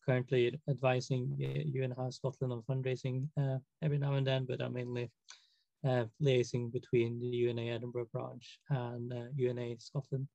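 A man from Norway introducing himself in a halting way with many fillers. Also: medium pitch, flat delivery, gravelly, dark tone, no room sound, lo-fi sound. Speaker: branch officer.

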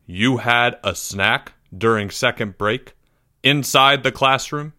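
A man's voice: mid-range voice, slow, sharp tone, no room sound, studio quality. A man voicing a stern, suspicious schoolteacher in a story, clipped and authoritative. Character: stern, suspicious male schoolteacher, easily exasperated.

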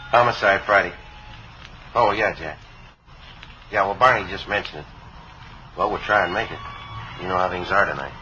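A man's voice: deep voice, normal speed, pauses often, pushed and bright, highs cut off, a little hiss or hum. A man acting a terse, matter-of-fact homicide sergeant in a vintage radio crime drama. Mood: businesslike. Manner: clipped, understated, matter-of-fact. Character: terse, businesslike male police homicide sergeant. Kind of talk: 1950s radio crime drama acting and first-person narration.

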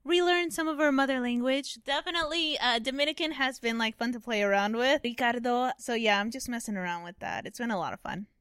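A woman's voice: a little high, brisk, few pauses, a bit bright, clear, resonant tone, no echo, studio quality. A woman in her late twenties from the United States, co-hosting a casual podcast with a joking, bubbly manner and Spanish phrases mixed in. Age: 20s. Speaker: podcast co-host. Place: indoors at home.

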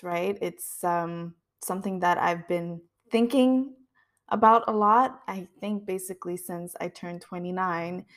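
A woman of about thirty hosting a podcast, speaking in an earnest, introspective monologue. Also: on the low side. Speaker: podcast host. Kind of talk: reflective personal monologue.

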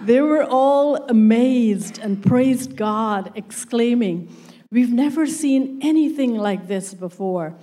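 Woman reader, English as second language, slow deliberate scripture reading, mellow tone. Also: on the high side. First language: not English. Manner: slow, careful reading with occasional stumbles.

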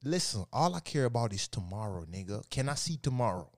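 A man's voice: medium pitch, big pitch swings, fairly quick. A man in his thirties chatting casually as a podcast co-host, blunt and profane, telling stories with heavy emphasis. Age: 30s. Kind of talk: casual chat.

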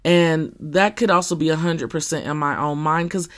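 Podcast host, scratchy and somewhat deep, halting conversational delivery. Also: steady tone, a bit bright. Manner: halting, with many fillers and restarts. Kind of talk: casual personal monologue.

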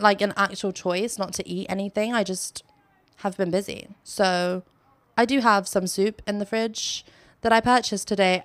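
A woman's voice: mid-range voice, dry recording. A young woman talks straight into her phone from the sofa, chatting loosely and laughing at herself. The sound is dry and close, with no room around her voice. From Philippines. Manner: chatty, self-mocking, laughs often. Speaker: podcast host. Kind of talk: casual solo podcast chat.

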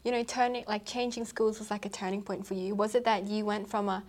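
A woman's voice: medium pitch, flat delivery, quick pace. A woman hosting a podcast, asking questions in an upbeat, enthusiastic and encouraging way. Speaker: podcast host. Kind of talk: casual interview.

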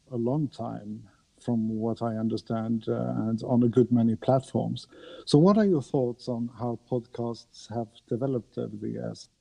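A man hosting a conversational podcast on a mobile phone, courteous and halting, with rather formal wording. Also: medium pitch, vocal fry, dark tone, breathy edge, low-quality recording. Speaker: podcast host. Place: remote conversation over a podcast app on a mobile.

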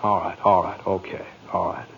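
An actor playing a despairing, sarcastic family man in an old-time radio drama, with a halting, folksy, stammering way of talking. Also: low voice, little pitch movement, gravelly, a bit bright, slightly rough, full character voice. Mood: confused. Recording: muffled, noisy old radio recording.

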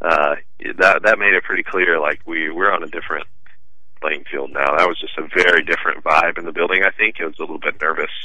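A man's voice: extremely low pitch, fairly even intonation, fast, pushed and bright, no room sound, low-quality recording. A man, a sportswriter guest on a radio show, talking casually and thinking aloud with fillers. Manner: thoughtful, hesitant with fillers.

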